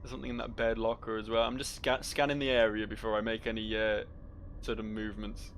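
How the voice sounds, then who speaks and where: A man's voice: on the low side, flat delivery, fast, a bit bright, slight background noise. A man giving casual let's-play commentary and reading game journals aloud in a dry, joking, profane way. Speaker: video game player and host.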